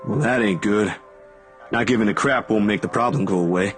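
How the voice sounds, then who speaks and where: A man's voice: fairly low pitch, brisk, close to an everyday voice. An actor playing a kind, slightly awkward young guy in a visual novel, informal and slangy. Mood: supportive, frank. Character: Zachary, awkward, kind, laid-back casual young guy. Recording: music playing underneath. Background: game music.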